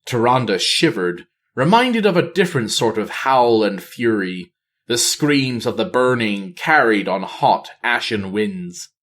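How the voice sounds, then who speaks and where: Male audiobook reader for dramatic fantasy: measured, theatrical, unhurried pacing with wide swings of pitch. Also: big pitch swings, a bit bright. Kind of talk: dramatic fantasy novel narration.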